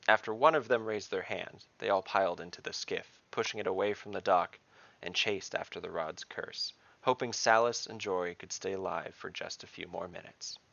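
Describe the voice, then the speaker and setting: A man hosting a podcast, reading scripted fantasy narration in a measured, dramatic storytelling manner. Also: deep voice, gravelly, fairly bright tone, lo-fi sound.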